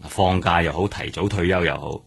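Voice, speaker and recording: An actor with a Hong Kong accent playing a stubborn, world-weary veteran detective in a radio drama, sardonic and resigned. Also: male voice, low-pitched, normal speed, vocal fry, breathy edge, heavily acted. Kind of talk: Cantonese radio drama acting.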